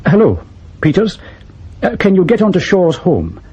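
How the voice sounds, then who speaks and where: Mellow, worried senior officer. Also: male voice, sits high, huge pitch swings, moderate pace, breathy edge, full character voice. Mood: anxious. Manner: hesitant yet commanding, clipped. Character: worried, brisk senior male police or security officer. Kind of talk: radio spy drama acting. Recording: dull radio drama sound, faint noise.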